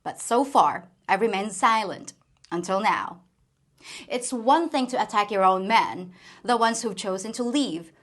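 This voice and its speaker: A woman's voice: mid-range voice, expressive, a little slow, fairly bright tone. A woman from the Philippines hosting a scripted commentary video, speaking with wry, playful sarcasm. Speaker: commentary channel host.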